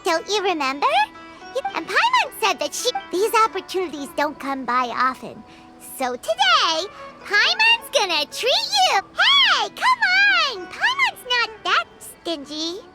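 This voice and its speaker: Squeaky, chirpy little fairy companion. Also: female voice, wildly swooping pitch, measured pace, exaggerated voice. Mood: eager, generous. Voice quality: squeaky, childlike. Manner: bubbly, emphatic, refers to self by name. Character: small chirpy childlike fairy companion, talks in third person. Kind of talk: anime video game voice acting. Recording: loud music behind the voice.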